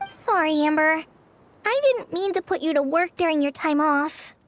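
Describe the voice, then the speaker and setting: A woman's voice: sits high, expressive, moderate pace, a little hiss or hum. A woman voicing an earnest, polite teenage girl ranger in a video game, with a youthful, girlish sound. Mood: apologetic.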